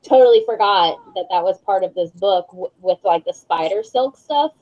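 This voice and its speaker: A woman's voice: medium pitch, moderate pace. A woman hosting a casual book discussion on a group video call, speaking in an informal, chatty way. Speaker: book club discussion host. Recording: dull lo-fi video call audio.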